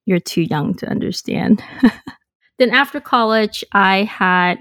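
A woman's voice: medium pitch, unhurried, fairly bright tone, no echo, studio quality. A young adult woman from Hong Kong telling her story as a podcast guest, reflective and chatty, with many ums and you-knows. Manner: reflective, frequent "um" and "you know", light laughs. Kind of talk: casual interview storytelling.

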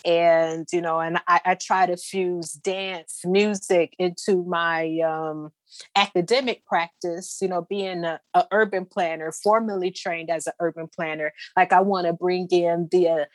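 A woman from Detroit, an urban planner telling lively stories as a guest in a casual conversation. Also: fairly low pitch, a little slow, no echo. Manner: lively storytelling, many fillers.

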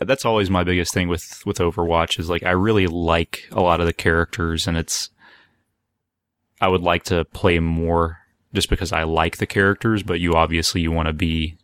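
A man's voice: sits low, flat delivery, moderate pace, a bit bright, no echo. A man hosting a podcast, steering a casual conversation among several people. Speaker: podcast host steering the discussion. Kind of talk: casual chat.

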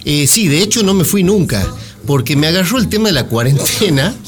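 A man with an Argentine accent presenting a radio segment, chatting in a halting, mock-solemn comic way. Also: fairly high pitch, big pitch swings, over music.

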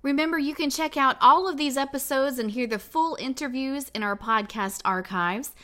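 A woman's voice: high-pitched, moderate pace, bright, forceful tone. A woman podcast host reading a scripted promotional announcement. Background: faint music.

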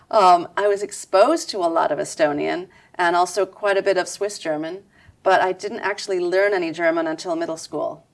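A woman answering interview questions in a measured, reflective way, with soft "um" fillers. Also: lowish voice, steady tone. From United States. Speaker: interview guest.

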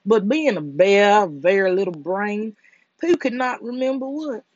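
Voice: medium pitch, expressive, a little slow, darkish tone, no echo. Soothing bedtime storyteller, dry muffled recording. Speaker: storyteller reading a children's book aloud.

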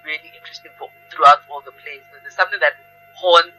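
A woman's voice: sits low, steady tone, fast, pushed and bright, dull audio, soft background music. A woman speaking as an interview guest, explaining reflectively and thoughtfully, repeating phrases for emphasis. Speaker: interview guest, theatre practitioner.

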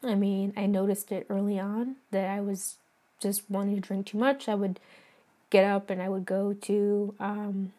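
A hoarse woman hosting a podcast, reading her own poem and talking it over in a soft, halting way with many fillers. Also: mid-range voice, monotone. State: hoarse from singing.

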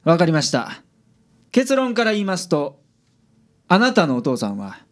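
Man acting gruff Kansai detective, swooping delivery. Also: a little high, wildly swooping pitch. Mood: composed, polite. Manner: blunt with colleagues, measured and polite with clients. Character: gruff, sardonic male private detective, shrewd and secretly kind. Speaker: detective in a radio drama. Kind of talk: radio drama acting.

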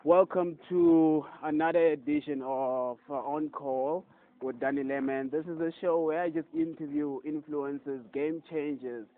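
A man's voice: fairly high pitch, fairly even intonation, unhurried. A young man hosting a casual interview, hyped and loose, repeating "yes, sir" and "my guy". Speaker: podcast host. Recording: tinny phone-like audio.

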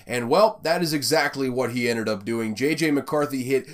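A man's voice: medium pitch, normal speed. A man with a Southern American accent hosting a casual college football rundown, rambling and conversational with plenty of fillers. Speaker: college football podcast host. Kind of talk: casual sports commentary.

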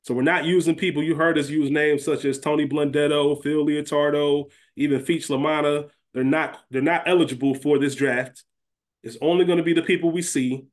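A man's voice: fairly high pitch, fairly even intonation, a little fast. A man hosting a podcast, chatting casually about television in an informal, slangy, conversational way. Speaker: podcast host.

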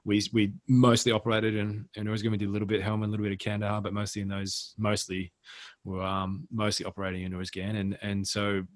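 A man's voice: on the low side, little pitch movement, quick pace. An Australian man hosting a casual podcast, talking in a blunt, matey way with plenty of swearing. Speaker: podcast host, military veteran.

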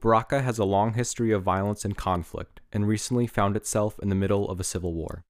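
A man narrating a podcast in a measured, scripted reading. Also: lowish voice, dry recording, clean studio sound. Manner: measured, even read delivery.

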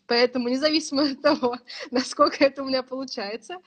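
A woman's voice: sits high, fairly even intonation, quick pace, fairly bright tone. A woman in her thirties, a writing course teacher, explaining at length in casual, thoughtful conversation and laughing now and then. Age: 30s.

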